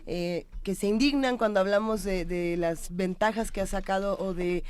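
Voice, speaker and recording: A woman's voice: medium pitch, moderate pace, darkish tone, smooth. A woman hosting a TV show, interviewing a guest in a conversational way. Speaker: TV show host. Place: TV studio.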